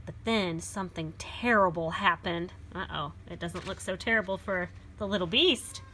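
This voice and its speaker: A woman reading a children's picture book aloud expressively, pausing to explain it simply to young listeners. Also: on the low side, bright, forceful tone, low-quality recording.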